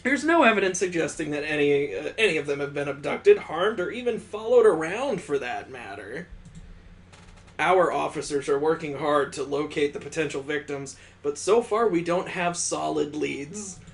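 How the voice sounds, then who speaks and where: Man putting on a higher-pitched TV news anchor. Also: animated, moderate pace, vocal fry. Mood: official. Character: theatrical TV news anchor reporting disappearances.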